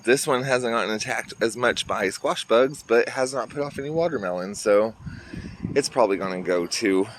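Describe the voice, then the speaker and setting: A man's voice: mid-range voice, normal speed. A man giving a casual walkthrough of his garden to viewers, pointing out plants as he goes and sounding a little congested, recorded outdoors on a handheld phone. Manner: chatty, pointing things out to viewers. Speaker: garden vlogger. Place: outdoors in a garden near a road. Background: faint traffic and outdoor ambience.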